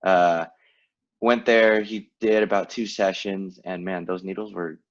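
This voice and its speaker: A very low-voiced man in his late twenties chats easily on a podcast, with fillers and frequent laughs. The audio sounds a little dull and lo-fi. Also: steady tone, a little slow. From Vietnam, raised in California. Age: late 20s. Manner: laid-back, laughs often, lots of fillers. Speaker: podcast co-host. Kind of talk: casual chat.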